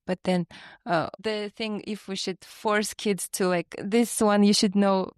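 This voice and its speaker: A middle-aged woman from Kazakhstan, co-hosting a podcast in casual, thoughtful talk with many pauses and fillers. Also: mid-range voice, fairly even intonation, clear, resonant tone, no echo, clean studio sound. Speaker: podcast co-host. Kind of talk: casual chat.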